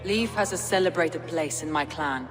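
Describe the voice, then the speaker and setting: A man playing a calm, measured Viking leader. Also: on the high side, long reverb tail, soft background music, natural voice, poor audio. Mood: composed. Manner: measured, thoughtful, composed.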